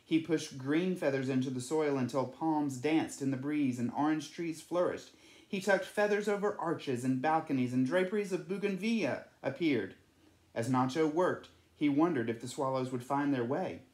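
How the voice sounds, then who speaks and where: A man's voice: fairly high pitch, moderate pace, a little mellow. Man reading a storybook, echoey room. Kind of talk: children's picture-book read-aloud.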